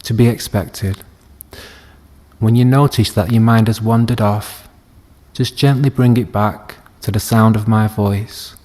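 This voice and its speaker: A man leading a guided meditation as a teacher, speaking gently and soothingly with long unhurried pauses. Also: fairly low pitch, steady tone. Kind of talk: guided body scan meditation.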